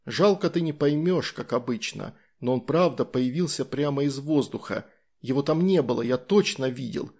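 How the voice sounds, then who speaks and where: A man's voice: medium pitch, animated, normal speed, darkish tone, slightly rough. A man voicing a bewildered boy adventurer in an audiobook, shaken, hesitant and incredulous.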